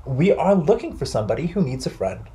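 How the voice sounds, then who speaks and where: A man's voice: fairly high pitch, very animated, fairly quick, warm tone. A man voicing a small, friendly young beaver in a children's story, earnest and eager. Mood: earnest, inviting.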